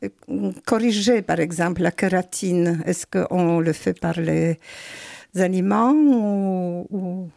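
Older woman host, low gravelly voice. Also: slow pace, mellow, no echo, studio quality. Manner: chatty, exclaiming, adds "hein". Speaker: radio show host. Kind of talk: radio interview.

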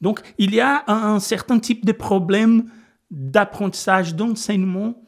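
A middle-aged Brazilian man with a fairly high voice is being interviewed on the radio. He speaks French with a Portuguese accent, hesitating often and restarting his sentences, with an occasional laugh. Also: unhurried, creaky. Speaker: physics lecturer, guest.

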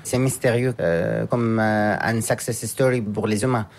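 Halting, low-voiced man from France. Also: steady tone, vocal fry. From France, raised in Japan. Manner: halting, searching for words, with frequent pauses and restarts. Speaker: guest, scientist and businessman. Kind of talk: radio interview answers.

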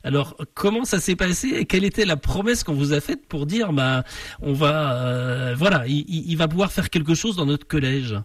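A man's voice: a little high, lively intonation, normal speed, no echo, studio quality, far from the mic. A man hosting a radio magazine and interviewing a guest, hesitating often and restarting his sentences. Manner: hesitant, many fillers and restarts.

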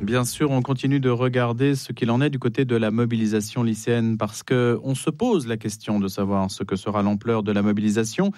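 Male radio presenter, live interview delivery, medium pitch, close dry studio sound. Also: fairly even intonation, fairly quick, hardly any pauses, a little mellow, no echo. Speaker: radio host.

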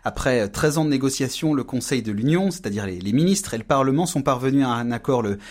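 A man's voice: mid-range voice, lively intonation, fast talker, a little mellow. Man explaining, full of fillers. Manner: explanatory, frequent fillers and repeats. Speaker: radio columnist on European affairs. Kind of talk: informative radio segment.

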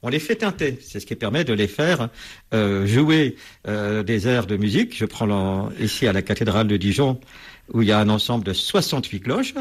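A man's voice: fairly low pitch, lively intonation, moderate pace, husky. A man being interviewed as a bell expert, explaining at length with many hesitations and restarts.

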